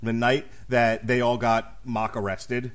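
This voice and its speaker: A man's voice: medium pitch, little pitch movement, unhurried. A man hosting a casual podcast, speaking bluntly and profanely with strong opinions. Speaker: podcast host.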